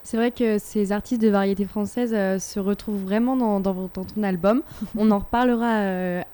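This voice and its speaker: A woman's voice: medium pitch, fairly even intonation, normal speed, dark tone. A woman interviewer asking conversational questions, phrasing them a little tentatively.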